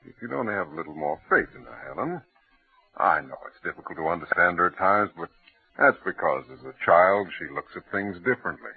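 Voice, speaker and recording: A deep, husky, gentle radio father. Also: a little fast, heavily acted. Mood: patient, reassuring. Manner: soothing, expressive, theatrical. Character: gentle, reassuring middle-aged father and husband. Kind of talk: mid-century radio drama acting. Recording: muffled, tinny old radio recording.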